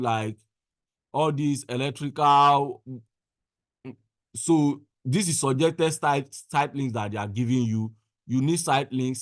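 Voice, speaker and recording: A man's voice: a little high, dry recording. Man giving step-by-step tutorial, dry close sound. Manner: instructional, repeats phrases for emphasis. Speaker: tutorial presenter. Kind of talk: screen-share software tutorial, step-by-step instruction. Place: indoors, voice-over on a screen recording.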